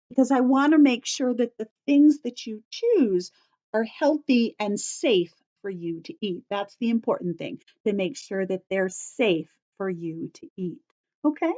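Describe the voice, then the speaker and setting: A woman teacher giving a story-time lesson to young children, explaining in simple, deliberate phrases and repeating key points. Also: on the high side, dark tone, highs cut off.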